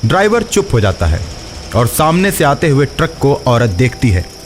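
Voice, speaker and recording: Male horror storyteller over soft music. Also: mid-range voice, very animated, moderate pace. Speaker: narrator. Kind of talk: scripted horror story narration.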